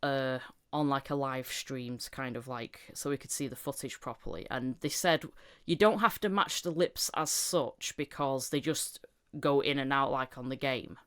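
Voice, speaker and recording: Clear, smooth-voiced woman chatting. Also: extremely low pitch, normal speed, a bit bright. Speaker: podcast host, voice actor. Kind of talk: casual chat, telling anecdotes.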